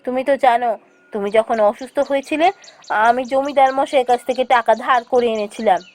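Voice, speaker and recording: Woman playing a high-pitched pleading village wife. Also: brisk, a little mellow, faint music behind, lightly acted. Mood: worried. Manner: pleading, emotive. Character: poor, anxious, pleading young village wife. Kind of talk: Bengali fairy-tale cartoon voice acting.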